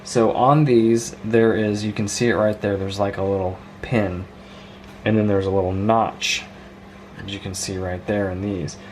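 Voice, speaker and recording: A man's voice: lowish voice, little pitch movement, unhurried, darkish tone, a little hiss or hum. A man giving an informal, rambling hands-on show-and-tell as a hobbyist, with an enthusiastic, marveling manner. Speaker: vintage radio hobbyist presenting a video.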